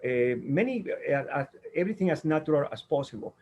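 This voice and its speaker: Earnest man, slightly raised pitch. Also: expressive, fast, darkish tone, highs cut off, a little hiss or hum. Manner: earnest, rambling with many fillers and restarts. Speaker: guest, sound healer and tenor. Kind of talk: interview answers.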